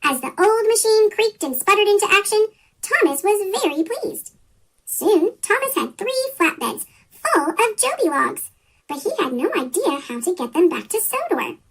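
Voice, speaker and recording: A children's story reader with a pitch-shifted chipmunk voice, reading a picture book aloud from a script. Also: sing-song, normal speed, a bit bright, rough recording. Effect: sped-up chipmunk pitch shift.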